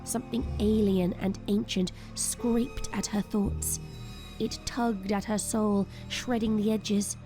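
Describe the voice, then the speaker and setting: Female narrator, medium pitch, dramatic scripted delivery, prominent music underneath. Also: steady tone, slow.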